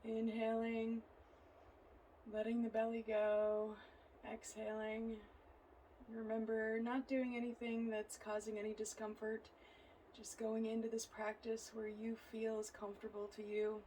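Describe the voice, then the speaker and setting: Female yoga instructor, gentle guided-relaxation delivery, flat even intonation, slight background noise. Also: on the high side, poor audio. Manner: soothing, gentle, unhurried phrasing.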